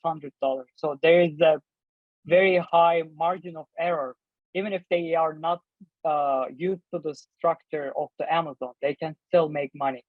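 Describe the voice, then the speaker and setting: A man's voice: on the high side, no room sound. Turkish-accented man on a phone-like line. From Turkey. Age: 30s. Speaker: guest, online seller and business owner. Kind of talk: interview answers, explaining. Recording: thin phone-like call audio.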